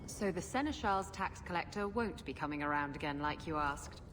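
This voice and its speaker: An actress playing a teasing, easygoing companion in a video game, with a playful, casual delivery. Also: female voice, deep voice, expressive, normal speed, fairly bright tone. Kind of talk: video game cutscene acting. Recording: long echoing hall, music behind.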